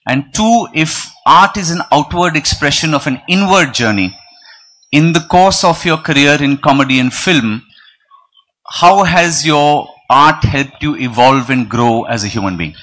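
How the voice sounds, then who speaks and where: A man's voice: a little high, animated, a bit bright, slight background noise, rough recording. A man asking a question from the audience. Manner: structured, deliberate questioning.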